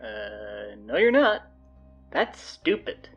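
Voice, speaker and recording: Bossy teenage girl announcer played by a woman for audio drama: low register, swooping delivery, blunt sarcasm. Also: sing-song, takes it slowly, breathy edge, soft background music, exaggerated voice. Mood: exasperated, bossy. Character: bossy, sardonic teenage high school girl taking over announcements.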